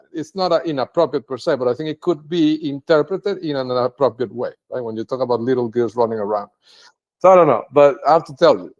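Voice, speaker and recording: A man whose first language is Spanish, speaking English in an unscripted, rambling monologue to camera, with many restarts and sentences ending in "right?". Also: medium pitch. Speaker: video blogger.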